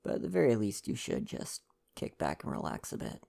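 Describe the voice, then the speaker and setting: A young man speaks softly and affectionately in a boyfriend ASMR style. His voice is mellow and relaxed with a gravelly creak, and its pitch moves in a lively, playful way as he chats or reads. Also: fairly quick. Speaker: ASMR roleplay performer and reader. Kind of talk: intimate ASMR boyfriend roleplay, casual chat and novel reading.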